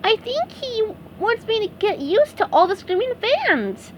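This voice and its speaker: A woman voicing an eager, cheerful young male sea sponge in a storybook reading, high and excited. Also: a little slow, a little hiss or hum, full character voice, low-quality recording.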